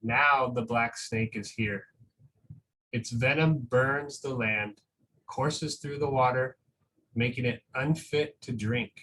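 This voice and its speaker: A man's voice: medium pitch, steady tone, takes it slowly, pushed and bright, far from the mic, slight background noise, poor audio. Man reading as solemn young storybook girl narrator. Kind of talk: picture book read-aloud.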